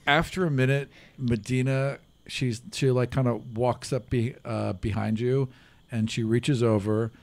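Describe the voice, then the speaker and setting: A middle-aged man improvising narration as a tabletop game master, pausing often mid-sentence. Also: medium pitch, steady tone, creaky, darkish tone. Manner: halting, many pauses mid-sentence. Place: small room, around a table. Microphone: individual table microphone, close.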